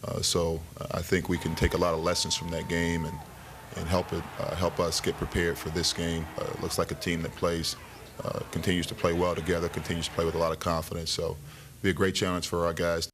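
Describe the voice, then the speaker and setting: Monotone, deep-voiced male coach. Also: background noise. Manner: measured, hesitant, cautious. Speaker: college basketball coach. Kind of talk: press interview.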